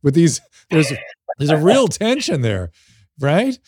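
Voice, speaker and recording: A man's voice: fairly high pitch, huge pitch swings, takes it slowly, darkish tone, slightly rough, dry recording, studio quality. A man from the United States with an American accent, hosting a casual interview in a tentative, reflective way, circling around his point. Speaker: interview host.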